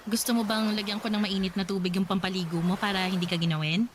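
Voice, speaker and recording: A woman's voice: mid-range voice, steady tone, fast talker, noisy background, lightly acted. A woman playing an affectionate, teasing young wife in a radio drama. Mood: caring.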